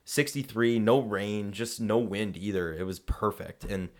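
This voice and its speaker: An American man with a slightly hoarse voice, hosting a casual sports podcast as an enthusiastic, emphatic fan. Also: fairly low pitch, measured pace.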